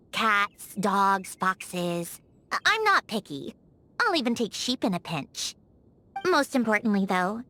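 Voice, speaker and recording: An actress voicing a haughty, prickly young genius lady automaton in a video game, huffy and easily flustered, with a secretly soft heart. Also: a little high, full character voice. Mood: sheepish, huffy. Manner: haughty, huffy, flustered when caught being kind. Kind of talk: scripted video game character voice acting.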